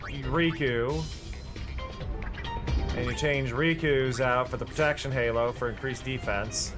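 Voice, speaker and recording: Casual streamer, tired voice, rambling strategy talk over clear game music. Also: male voice, mid-range voice, fairly even intonation, unhurried. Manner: chatty, filler-heavy explaining. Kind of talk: casual gameplay commentary.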